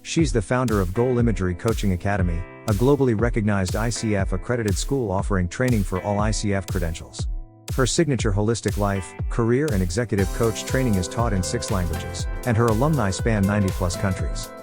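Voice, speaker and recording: A man reading a scripted introduction to an interview show in a measured promotional tone. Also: on the low side, music in the background. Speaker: show announcer.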